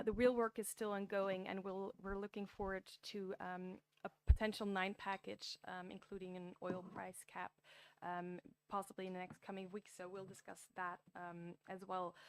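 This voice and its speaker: A woman's voice: mid-range voice, level tone, moderate pace, clear, resonant tone, no room sound. Belgian woman moderating a panel. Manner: friendly, many ums, restarts. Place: conference room at a podium. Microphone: podium microphones.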